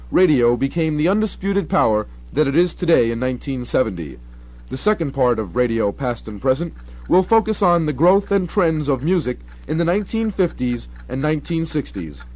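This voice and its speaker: A man's voice: on the high side, a little mellow, low-quality recording. A man from New York with an American accent, reading scripted radio documentary narration in a 1970 style. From New York, United States.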